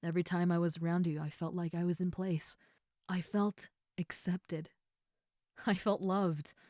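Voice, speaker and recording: A gentle giant of an ogre girl voiced by a woman, speaking in a slightly low voice, very close and dry. She is tender and sincere, pausing as she searches for words and often dropping into breathy softness, as in a comfort roleplay. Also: level tone, no room sound, natural voice. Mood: earnest, reassuring. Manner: soft, breathy asides, halting, tender, sincere. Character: gentle, affectionate giant female ogre roommate, shyly confessing. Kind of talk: intimate comfort roleplay audio.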